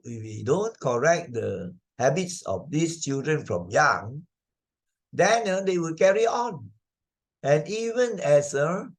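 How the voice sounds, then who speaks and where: An older man with a Malaysian accent, narrating and explaining a children's moral story as a teacher, in a didactic, repetitive way with frequent tag questions. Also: medium pitch. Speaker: Sunday school teacher narrating slides.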